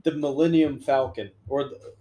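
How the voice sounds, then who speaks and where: A man's voice: on the high side, steady tone, normal speed, warm tone. Rambling young man, lots of fillers. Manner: vague, rambling, filler-heavy. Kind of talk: casual chat.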